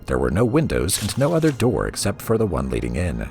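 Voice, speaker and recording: A man reading a story aloud as a storybook narrator, with a theatrical, expressive delivery. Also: sits low, fairly quick, creaky, faint music behind. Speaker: lore reader for a gaming let's play.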